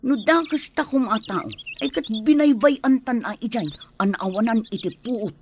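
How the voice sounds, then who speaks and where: A woman's voice: a little high, moderate pace, slight background noise, natural voice. A woman playing a concerned country elder sister in a radio soap drama.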